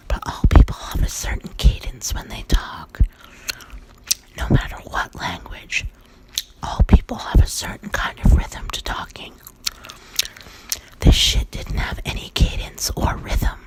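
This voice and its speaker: A storyteller whispering a horror story throughout, in a loose, profane way. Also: poor audio.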